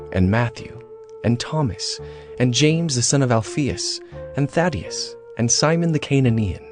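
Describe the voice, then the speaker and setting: A man narrating scripture as a solemn story, with clear phrasing, in a dramatized audio reading. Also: medium pitch. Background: music.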